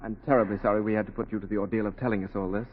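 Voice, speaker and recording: Casting: man as formal, solicitous official for vintage radio play, husky voice, rapid delivery. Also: lowish voice, fairly even intonation, lightly acted. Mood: admiring, polite. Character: deferential, courteous male colonial official. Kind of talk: 1940s radio drama acting. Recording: dull 1940s radio broadcast.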